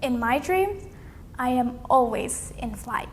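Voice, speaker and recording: A young woman reads a storybook aloud in a very high voice. Her phrasing is slow, deliberate and dramatic, heard with long hall reverb and some faint noise. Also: animated. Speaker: read-aloud competition contestant. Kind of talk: expressive storybook read-aloud.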